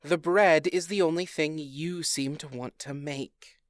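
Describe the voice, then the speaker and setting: A wry fairy queen in a comedic audio drama. The delivery is slow and smooth with a dry, close sound, teasing and needling throughout. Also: low voice, no room sound. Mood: needling, provocative.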